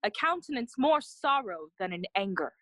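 A woman's voice: mid-range voice, very animated, normal speed, fairly bright tone, dry recording. A woman playing a loyal, earnest young friend of a prince in a bilingual Shakespearean audio play, speaking formally. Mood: solemn, sincere. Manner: earnest, formal, clearly declaimed.

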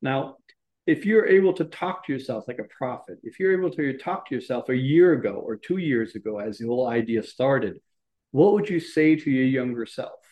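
A man's voice: mid-range voice, expressive, moderate pace, darkish tone. Podcast host man, rambling questions. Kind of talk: casual interview.

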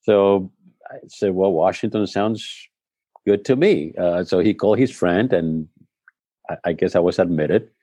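Low, mellow Spanish-born man, reflective. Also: a little slow, dry recording. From Spain. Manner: reflective storytelling with frequent restarts. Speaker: head of school, guest. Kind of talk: conversational interview.

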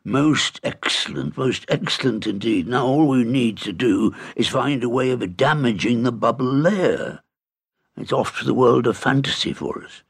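A man's voice: medium pitch, lively intonation, measured pace, vocal fry, slightly rough, pitched above the performer's own voice, full character voice. A man playing a pompous old bug professor. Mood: blustering. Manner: pompous, stammering, indignant. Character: excitable old male professor, tiny insect. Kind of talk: audiobook character reading.

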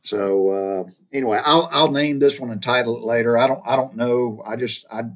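A man's voice: medium pitch, moderate pace, a bit bright. Rambling middle-aged man telling stories. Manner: rambling folksy storyteller, chuckles and mild cursing. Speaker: podcast host. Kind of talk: casual storytelling monologue.

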